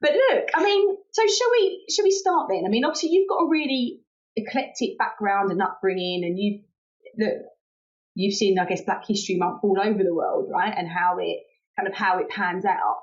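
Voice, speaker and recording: A woman's voice: medium pitch, normal speed, dull audio. A woman hosting a podcast, chatting in an informal and encouraging way as she interviews. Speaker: podcast host. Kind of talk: casual interview chat.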